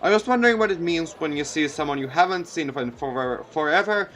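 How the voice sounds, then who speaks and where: A man's voice: on the high side, animated, fairly quick, a bit bright. Man acting an earnest, flustered teenage schoolboy. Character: earnest, exasperated teenage schoolboy protagonist of visual novel.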